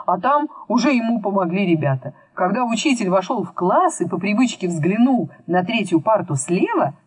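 A woman narrates a children's tale in an expressive storytelling style. Her medium-pitched voice moves with lively, swinging intonation, and the recording is close and dry. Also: breathy edge, no echo. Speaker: audiobook narrator.

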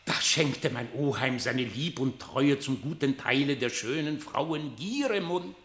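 A smooth-tongued badger pleading for his kin in a classic radio play. His fairly high male voice rises and falls widely over deliberate verse. The room around him echoes. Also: a bit bright, heavily acted. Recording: roomy, echoing radio studio recording.

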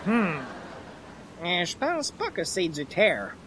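A man's voice: high-pitched, huge pitch swings, takes it slowly, fairly bright tone, a little hiss or hum, heavily acted. An actor playing a blunt, practical handyman who speaks slangy Quebec French with an English accent in a radio comedy. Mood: puzzled, blunt. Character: rough anglophone handyman speaking broken franglais, blunt, practical. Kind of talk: old-time radio comedy acting.

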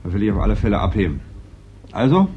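A man's voice: lowish voice, sing-song, fast talker. An actor playing a wry, seasoned middle-aged working man in a German radio play, talking in a colloquial way. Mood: playful. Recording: muffled, noisy old radio recording.

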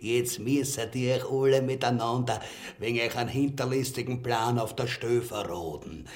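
A man's voice: mid-range voice, normal speed, close to an everyday voice. A male actor playing a crude, scheming usurper in a farcical radio play, with an Austrian accent and a blustering, colloquial delivery. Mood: mocking, blustering.

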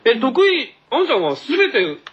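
A man's voice: very high-pitched, huge pitch swings, moderate pace, muffled recording, a loud crowd. A man in his thirties hosting a radio show with playful, teasing banter and theatrical announcements. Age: 30s.

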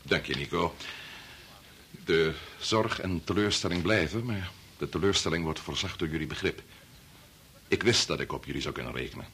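An actor in a Dutch radio play, playing a grave, resolute older doctor who speaks in a measured, formal, authoritative way. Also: male voice, sits low, a bit bright, slight background noise. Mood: moved, weary. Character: grave, resolute senior doctor and father leading an experiment.

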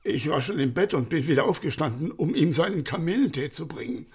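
A man's voice: a little high, lively intonation, a little fast, a little mellow, slightly rough. An actor playing an old, frightened male servant in a radio play, agitated and stammering as he tells what happened. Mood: anxious. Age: older. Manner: agitated, tumbling nervous speech with stammers. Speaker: servant of a baron.

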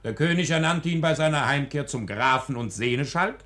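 A man's voice: on the high side, a little fast, pushed and bright. A man playing a wry, folksy chronicler in a 1940s German radio drama, telling the tale ornately and tongue-in-cheek. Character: wry, folksy male chronicler addressing good people. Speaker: narrator in a radio play.

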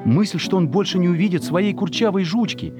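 A man's voice: high-pitched, animated, fairly quick, over loud music. A man reading a literary story as a narrator, expressively and with emotion, in a scripted dramatic style.

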